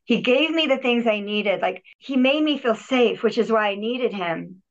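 A woman's voice: a little high, lively intonation, moderate pace. A woman guest on a podcast telling her personal healing story in an earnest, reflective way, often restarting and repeating words. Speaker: podcast guest, healer and yogi. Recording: dull lo-fi audio.

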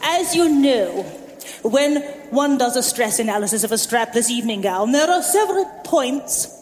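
A woman performing a comic theatrical stage monologue as a mock lecture, with emphatic pauses. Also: on the high side, lively intonation, a little mellow. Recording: reverberant concert hall, clear noise.

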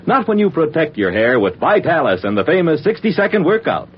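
Animated old-time radio ad man, muffled sound. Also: on the high side, fairly quick, a little hiss or hum. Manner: chatty, direct salesman's address. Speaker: commercial announcer. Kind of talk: 1940s radio advertising pitch.

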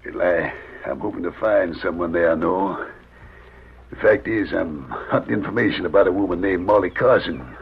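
An actor playing a weary, wary middle-aged drifter in an old radio western, with a husky voice and folksy frontier speech. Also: male voice, deep voice, normal speed, slight background noise. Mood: guarded. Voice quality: husky, gravelly. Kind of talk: 1940s radio western acting. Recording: muffled old radio recording.

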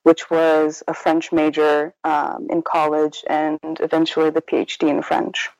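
A woman's voice: sits low, completely flat delivery, unhurried, darkish tone, dry recording, muffled recording. A woman author speaking in an interview, thoughtful and self-correcting, often restarting her sentences. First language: Korean. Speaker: author guest. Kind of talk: casual academic interview.

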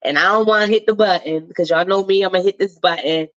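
A woman's voice: on the low side, fast talker. Woman hosting a live show, emphatic and slangy, kinda stuffed up, muffled lo-fi sound. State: a little stuffed up. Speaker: host. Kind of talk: casual live-show chat and commentary.

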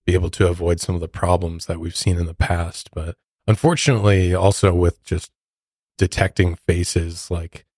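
Deep-voiced man chatting on a podcast. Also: flat delivery, moderate pace, darkish tone, breathy edge, no room sound, clean studio sound. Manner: chatty, speaks to listeners directly. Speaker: true crime podcast co-host. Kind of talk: casual podcast chat.

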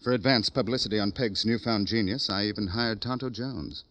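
A man plays a self-serving, genteel publisher in a vintage radio play. His lowish voice is mannered and pompous, hemming delicately through wordy phrases. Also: a little fast, a bit bright, no echo, lightly acted. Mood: huffy, pompous. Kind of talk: classic radio drama acting.

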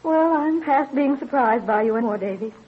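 A homely, skeptical colonial wife in a vintage radio play. Her voice sits a bit high with a very rough, breathy edge. She teases dryly but fondly, through a narrow, phone-like old recording. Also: animated, fairly quick. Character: practical, skeptical, affectionate colonial inventor's wife. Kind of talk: old-time radio drama acting.

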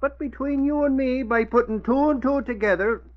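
A man's voice: very high voice, normal speed. A man playing a talkative, self-important newspaper reporter, boasting of his deductions with folksy grammar in 1940s radio acting. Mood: boastful. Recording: muffled old radio recording.

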